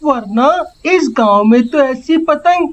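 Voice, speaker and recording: Woman as a very high-pitched earnest little boy. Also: lively intonation. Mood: wonder. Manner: slow, childlike, earnest. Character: small, earnest, loving five-year-old village boy.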